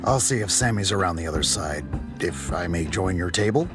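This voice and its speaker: A man plays a cagey space lieutenant in an audio drama, sheepish and evasive. His voice is fairly low and lively, with loud music behind it. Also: brisk. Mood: evasive, polite. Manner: hesitant, evasive.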